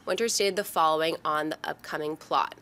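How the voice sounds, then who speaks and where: A woman's voice: deep voice, fairly quick, fairly bright tone. Woman presenting entertainment news. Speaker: show host. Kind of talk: presenting movie news, reading out quotes.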